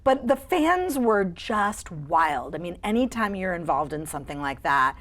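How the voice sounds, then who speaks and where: A woman voice actor, a guest on a studio talk show, telling stories about her career in a lively, expressive way. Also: fairly low pitch, measured pace. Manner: animated, expressive storyteller. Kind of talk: interview storytelling. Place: TV studio couch.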